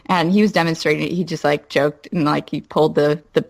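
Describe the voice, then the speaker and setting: A woman podcast guest telling a personal anecdote in halting, informal speech with casual swearing. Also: sits low, fairly even intonation, vocal fry.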